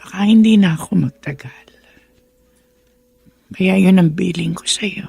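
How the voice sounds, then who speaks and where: A woman playing a frail, sickly mother, resigned and gentle, with a weak breathy voice in a Filipino radio drama. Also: on the low side, unhurried, no room sound, heavily acted.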